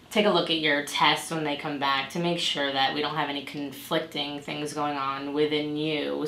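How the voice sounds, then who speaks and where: A woman playing a gentle, supportive patient navigator in a role-play, explaining in a conversational way. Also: sits low. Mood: supportive, informative. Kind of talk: amateur role-play dramatization. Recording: echoey room with background noise.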